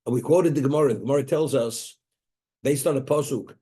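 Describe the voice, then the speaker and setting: A man's voice: mid-range voice, a little fast, dark tone. A man giving a religious Torah lecture, emphatic, often repeating and restarting words as he explains. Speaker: Torah teacher.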